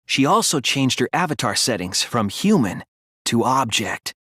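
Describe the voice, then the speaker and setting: A man voicing an earnest, soft-spoken teenage boy detective in a video game, reasoning things out deliberately with a slightly breathy voice. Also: mid-range voice, very animated, no echo, studio quality. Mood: focused, explanatory. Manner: deliberate, earnest, analytical explaining with dramatic emphasis. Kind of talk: English video game voice acting, deductive monologue.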